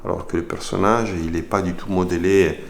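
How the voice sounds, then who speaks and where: Low gravelly man. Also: steady tone, moderate pace. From Italy. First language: Italian. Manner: hesitant, searching for words with many repeats. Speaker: sculptor. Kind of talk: reflective documentary interview.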